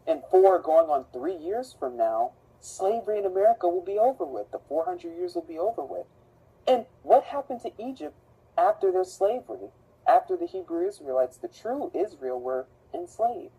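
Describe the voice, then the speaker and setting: A woman with a very husky, breathy voice narrates with theatrical rhetorical questions. Her pitch sits low but leaps around widely, and her tone is dark and mellow. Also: very animated, normal speed, poor audio. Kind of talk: narrated conspiracy video.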